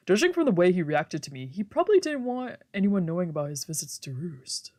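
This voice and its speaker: A woman's voice: lowish voice, big pitch swings, fairly quick, darkish tone. A woman streamer reading a visual novel aloud in a playful, theatrical way with casual asides. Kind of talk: let's play read-aloud with casual asides.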